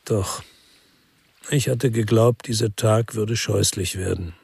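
A man's voice: fairly low pitch, steady tone, slow, creaky, a little mellow, husky, no room sound, heavily acted. An actor playing a world-weary ex-policeman who narrates a radio crime drama in a brooding, reflective way.

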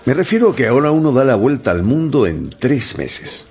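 A man playing an unflappable, precise English gentleman in a radio drama, formal, measured and self-assured. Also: medium pitch, very animated, husky. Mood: composed, precise.

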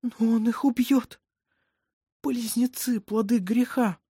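A woman voicing a frightened, exhausted peasant mother in an audiobook, pleading tearfully and slowly. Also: sits high, mellow, dry recording, studio quality, pitched above the performer's own voice. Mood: fearful. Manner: tearful, slow, drawn-out pleading.

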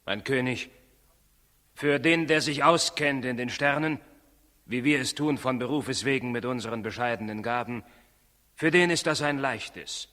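Male actor as solemn wise stargazer, formal, courteous, forceful clear-cut tone, vintage radio drama, long hall reverb. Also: medium pitch, moderate pace, lightly acted. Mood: solemn, explaining. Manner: formal, declamatory explaining. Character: learned, solemn court-visiting astrologer, courteous and assured. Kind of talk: 1960s German radio play acting.

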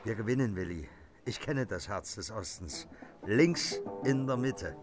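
A man's voice: on the low side, lively intonation, a little slow, loud music behind the voice. An actor playing a self-assured male politician full of bravado on a radio drama phone call, in clipped phrases. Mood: confident.

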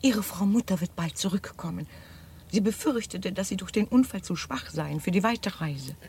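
A woman playing a motherly, soothing member of a genteel household in a German radio drama, with a husky voice. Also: mid-range voice, animated, moderate pace, darkish tone.

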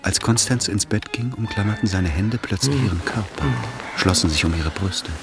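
A man's voice: lowish voice, normal speed, over music, close to an everyday voice. A man reading the literary narration of an intimate love story in a radio play. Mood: measured, intimate.

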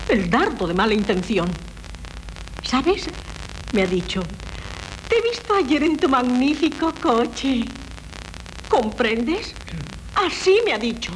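An actress from playing a chatty, complaining wife in a 1970s radio drama, mocking and dramatic. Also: female voice, on the high side, slight background noise, low-quality recording.

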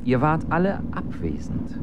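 Fragender, skeptischer Freund, Straßenlärm. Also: male voice, fairly high pitch, wildly swooping pitch, unhurried, muffled recording. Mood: pondering. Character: sceptical, probing male friend piecing together a mystery. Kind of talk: naturalistic German radio drama acting. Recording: car and street noise behind.

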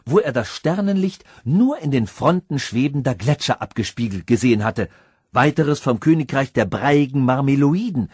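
A man narrating a fantastical fairy tale as an audiobook reader, expressive, rising and dropping his voice for drama. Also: medium pitch, slightly rough, no echo, clean studio sound.

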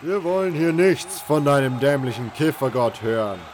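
A man's voice: mid-range voice, expressive, normal speed, a little mellow, a little hiss or hum, pitched above the performer's own voice, close to an everyday voice. A man voicing a scornful, commanding camp boss in a fantasy audio drama, dismissive and coarse. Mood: contemptuous.

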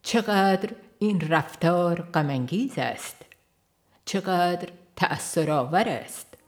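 A woman reading a devotional book aloud in a slow, earnest, reflective way. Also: on the low side, animated. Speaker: audiobook reader. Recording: faint instrumental music behind.

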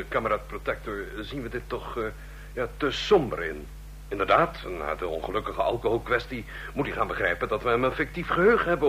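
A man's voice: mid-range voice, a bit bright. A man acting in a Dutch radio play as a wry, hedging chief medical officer. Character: aggrieved, hesitant chief medical officer serving a regime. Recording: noisy, humming old radio recording.

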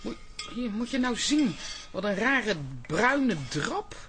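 Animated, motherly gossiping aunt. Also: fairly high pitch, slow pace. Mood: appalled. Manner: exclamatory, fussing. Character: motherly, gossipy, outspoken middle-aged aunt. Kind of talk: radio comedy drama acting.

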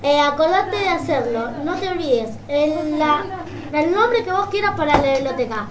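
Una mujer con acento argentino que conduce un programa informal de radio comunitaria, de forma algo titubeante y repitiendo palabras. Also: female voice, high voice, lively intonation, moderate pace, slight background noise. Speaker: radio host. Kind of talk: informal community radio presenting.

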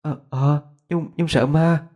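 A man's voice: on the high side, steady tone, slow, a little mellow, pitched above the performer's own voice. A man voicing a frightened, timid young female student in a ghost story, stammering and pleading.

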